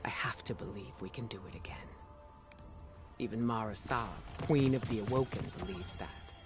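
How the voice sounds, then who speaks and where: Un actor como comandante serio, música de fondo. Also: male voice, fairly low pitch, moderate pace, close to an everyday voice. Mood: grave, resolute. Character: earnest, weary military commander, resolute man. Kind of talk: video game cinematic acting. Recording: music behind the voice.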